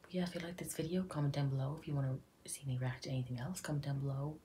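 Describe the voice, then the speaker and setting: A woman's voice: deep voice, racing, warm tone, slight background noise. A young Irish woman at home, chatting playfully through a reaction video with whispered asides. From Ireland. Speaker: YouTuber. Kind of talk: casual reaction commentary. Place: indoors at home.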